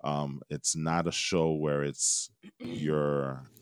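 A man's voice: rumbling low, little pitch movement, drawn-out words, clear, resonant tone, no echo. A man in his thirties hosting a geeky podcast, rambling casually with lots of fillers. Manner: laid-back, rambling with fillers. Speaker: podcast host. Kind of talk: casual chat. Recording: dry remote recording.

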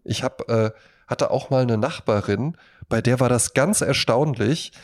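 A man with a mid-pitched voice chats casually as a podcast co-host. He rambles through anecdotes and illustrates his points with small acted-out quotes, in a loose conversational flow. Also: unhurried. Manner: rambling, anecdotal, acts out little imagined quotes.

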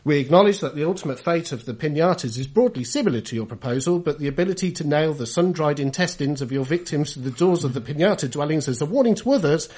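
A British man hosts a jokey gaming podcast. His voice sits a little high for a man, and he speaks in a wordy, mock-formal style with his tongue in his cheek. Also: expressive, fast talker, hardly any pauses, a little mellow. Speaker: podcast host. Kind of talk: jokey scripted gaming podcast, reading letters.

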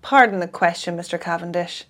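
A woman's voice: fairly low pitch, brisk, a bit bright, voice pushed down. Grave, probing male detective, read by a woman.

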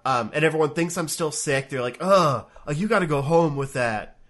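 A man's voice: on the high side, animated, moderate pace, a bit bright. A man co-hosting a casual online show, congested and sniffly from a cold, rambling with lots of fillers and restarts. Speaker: podcast co-host. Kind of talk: casual chat. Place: home room. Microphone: webcam setup, remote call.

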